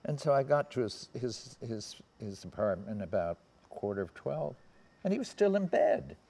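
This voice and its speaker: An American man in his seventies reminiscing in anecdotes on a panel, haltingly, often trailing off and circling back. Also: medium pitch, big pitch swings, gravelly, warm tone. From United States. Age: 70s. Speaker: panel guest recalling personal memories. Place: seated on a theatre stage.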